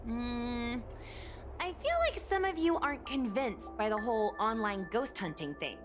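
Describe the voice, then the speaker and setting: A woman voicing an upbeat, excitable young woman who streams online, chatty and eager in a game cutscene. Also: on the high side, unhurried. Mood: animated. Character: bubbly young woman street performer turned online streamer. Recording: game cutscene with music behind.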